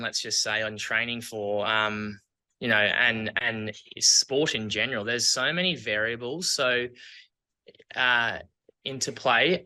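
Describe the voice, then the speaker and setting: A man's voice: mid-range voice, sharp tone, smooth, low-quality recording. A man with an Australian accent, chatting casually as an athlete and coach being interviewed.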